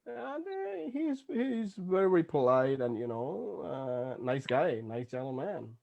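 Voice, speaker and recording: A man's voice: a little high, a little slow, creaky, no room sound. Guy from pakistan on a video call, rambly, lots of "you know", dry close audio. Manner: relaxed, rambling, many fillers. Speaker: music producer. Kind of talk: casual chat. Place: Toronto.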